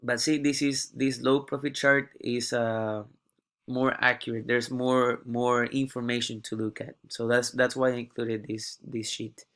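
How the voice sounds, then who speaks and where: A young man, an international student, giving a halting class presentation while sick with a cough. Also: mid-range voice, little pitch movement, a bit bright. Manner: halting, often repeats words before going on. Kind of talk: class presentation walking through a data dashboard.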